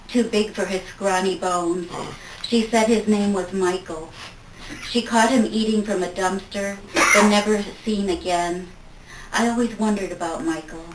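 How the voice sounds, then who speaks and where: A woman reading poetry aloud at a live event, measured and in short separate phrases. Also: medium pitch. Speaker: poetry reader at a tribute event. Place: live event room, recorded from a distance. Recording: echoey room, distant mic, faint noise.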